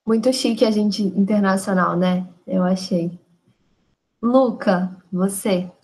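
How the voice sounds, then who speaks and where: A woman's voice: mid-range voice, slow, darkish tone, low-quality recording. A woman hosting an online group meeting, giving short friendly remarks and calling on each participant. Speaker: host of an online study group. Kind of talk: casual meeting moderation.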